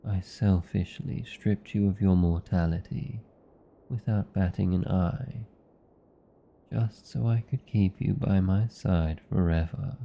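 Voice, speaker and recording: A British man playing a protective, anxious vampire lover in a romantic ASMR roleplay, speaking formally and earnestly with deliberate pauses. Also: sits low, fairly even intonation, vocal fry, dark tone, dull audio, exaggerated voice. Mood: tender, grave. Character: protective, anxious old vampire lover, tender yet arrogant.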